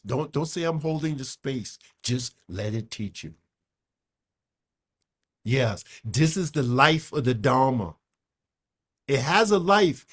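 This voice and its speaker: A man in his seventies with Japanese as his first language, giving a dharma talk and answering questions, emphatic, repeating words and checking in with "okay?". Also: on the high side. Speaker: Buddhist teacher.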